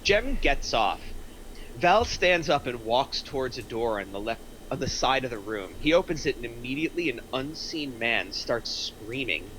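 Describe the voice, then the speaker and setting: Bright-voiced man narrating a screenplay. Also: medium pitch, animated, normal speed, slight background noise, poor audio. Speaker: narrator reading stage directions. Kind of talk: scripted narration at a table reading.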